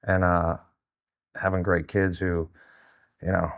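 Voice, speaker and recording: Man, fifties, high school wrestling coach, very low gravelly voice, candid, casual interview chat. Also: level tone, slow pace, a bit bright, no echo, lo-fi sound. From New Jersey, United States. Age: 50s.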